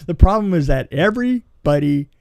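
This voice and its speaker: A man with a fairly high voice tells behind-the-scenes production stories in a loose, conversational way. He voices what other people said as he goes. The sound is dry and close. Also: expressive, unhurried, gravelly, a little mellow, no room sound. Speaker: guest telling stories of film and TV production work. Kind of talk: casual anecdote telling.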